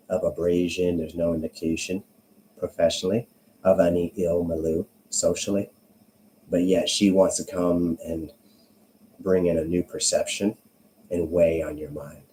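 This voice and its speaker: A man giving an informal explanatory vlog monologue, speaking haltingly and punctuating his points with emphatic tag questions. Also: low voice, warm tone, a little hiss or hum, rough recording. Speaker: vlogger.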